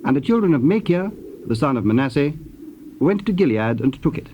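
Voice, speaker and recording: A man's voice: medium pitch, very animated. Male Bible narrator on dull tape. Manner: formal, measured. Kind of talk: scripted scripture narration. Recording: dull, noisy old cassette tape.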